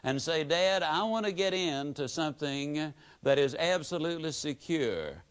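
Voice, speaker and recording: A middle-aged man giving a motivational sales speech, telling folksy stories with rapid-fire repetition and dramatic pauses. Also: a little high, expressive, fairly bright tone, smooth. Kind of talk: motivational speech to a live audience.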